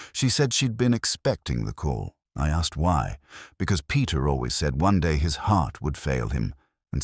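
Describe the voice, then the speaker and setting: Very deep man narrating a detective drama. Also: dry recording, clean studio sound. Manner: literary, measured, reflective, wry. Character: weary, introspective male English police inspector, middle-aged. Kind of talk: scripted first-person detective narration in audio drama.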